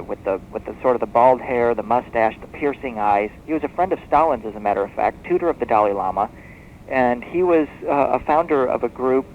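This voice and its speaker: A man speaking as an expert guest in a radio interview, explaining history as a story in a measured, discursive way. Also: medium pitch. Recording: muffled noisy shortwave radio tape.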